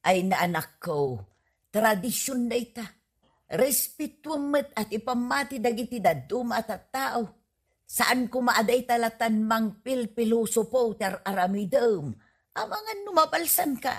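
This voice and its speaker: A woman with an Ilocano accent playing a fond but admonishing older mother in a comedy radio drama, her voice husky. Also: on the high side, lively intonation, measured pace, heavily acted. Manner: admonishing, pleading. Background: faint music.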